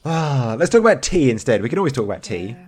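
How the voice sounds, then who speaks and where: A man's voice: mid-range voice, very animated, fast talker, noisy background. A young man co-hosting a podcast, with dry, teasing humour. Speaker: podcast co-host. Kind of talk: casual chat.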